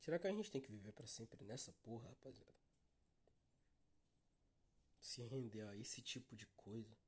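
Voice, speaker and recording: Weary, husky-voiced Brazilian man. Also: medium pitch, lively intonation, moderate pace, a little mellow. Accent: Brazilian Portuguese. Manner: weary, downcast, heavy swearing, addresses listeners as guys. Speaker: podcast host. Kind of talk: solo confessional podcast monologue.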